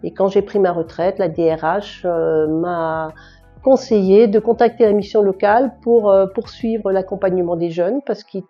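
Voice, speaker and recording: A woman's voice: mid-range voice, normal speed. A woman of seventy giving an interview testimonial as a retired volunteer mentor. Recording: slightly dull sound, music behind. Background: music.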